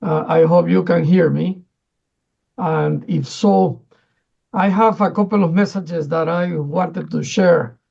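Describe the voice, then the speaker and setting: Man from Ecuador, high gravelly voice, halting with fillers, dull lo-fi remote audio. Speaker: UN division director. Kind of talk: formal remote remarks. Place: speaking remotely from another country.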